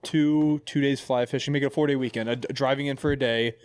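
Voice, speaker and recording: A man's voice: medium pitch, steady tone, brisk. Rambling young man hosting a podcast. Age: 20s. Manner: rambling, self-correcting, jokey. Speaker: podcast host. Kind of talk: casual podcast chat. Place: lodge. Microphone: podcast mic.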